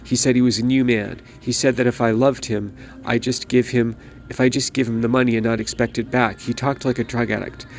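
A man's voice: medium pitch, level tone, a little fast, slight background noise, close to an everyday voice. A man doing a bitter, scolding old mother. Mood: aggrieved. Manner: scolding, melodramatic. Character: bitter, domineering elderly mother.

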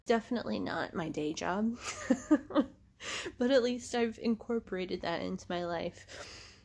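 A woman's voice: mid-range voice, a little mellow. A woman, hosting a podcast in a casual, rambling monologue, congested from allergies and laughing often. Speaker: podcast host.

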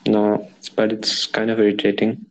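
A man's voice: fairly low pitch, completely flat delivery, normal speed, vocal fry. A nineteen-year-old man from Dubai co-hosting a casual podcast over a call, easygoing and agreeable. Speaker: podcast co-host, engineering student. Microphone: call audio. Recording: dull call audio with faint noise.

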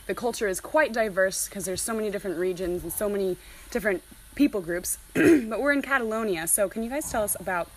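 A woman's voice: medium pitch, low-quality recording. A woman hosting a casual podcast interview, often chiming in with short, agreeable replies.

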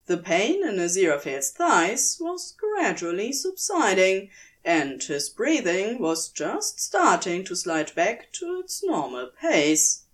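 A woman narrates scripted fiction in a medium-pitched voice. Her reading is slow and measured, yet the melody is lively and varied as she tells the story.

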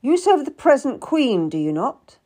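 A woman acts out a Tudor nobleman from a historical story. He is curt and calculating, talking down to those below him. The delivery is animated, with wide pitch movement. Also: normal speed, slightly rough, heavily acted. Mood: persuasive, calculating. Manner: brusque, condescending. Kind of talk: dramatised audiobook character.

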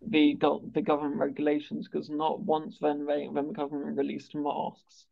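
A man with a stammer who speaks haltingly, repeating words and sounds as he hosts a conversational interview. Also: a little high, completely flat delivery, vocal fry. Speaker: interviewer and stammering awareness campaigner. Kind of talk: conversational interview on a video call. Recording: dull, lo-fi video call audio.

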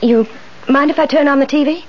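An actress playing an anxious, fretful rooming-house landlady with a breathy voice in a 1970s radio drama. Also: high voice, slight background noise, natural voice. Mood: polite, uneasy. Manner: fluttery, halting, anxious. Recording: dull 1970s radio recording.

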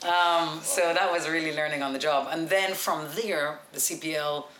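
A woman in her forties with a deep voice, telling stories as an interview guest in a confident, practised broadcaster's way. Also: fairly even intonation, moderate pace, a bit bright, slight background noise. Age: 40s. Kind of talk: relaxed storytelling interview. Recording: echoey room with music behind.

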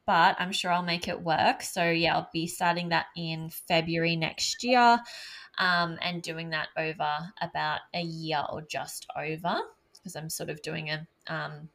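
A woman who runs her own business, speaking as a podcast guest in a measured, hedging way with frequent ums. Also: lowish voice, pushed and bright. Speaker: podcast guest, self-employed business owner. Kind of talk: casual conversational interview.

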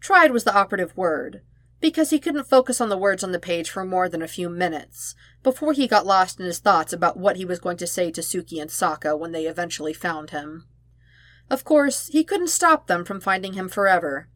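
A woman reading fan fiction aloud in an expressive audiobook style, with emphatic, dramatic phrasing. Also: lowish voice, brisk, dry recording. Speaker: podfic narrator.

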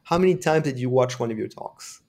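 Man explaining calmly. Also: mid-range voice. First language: French. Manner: measured, explanatory, reflective. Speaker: developer advocate guest. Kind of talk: conversational interview answers.

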